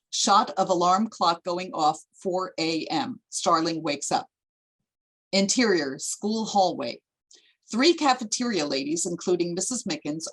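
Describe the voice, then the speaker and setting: A woman reading screenplay stage directions as narrator, in a measured, descriptive way. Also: fairly low pitch, husky, rough recording.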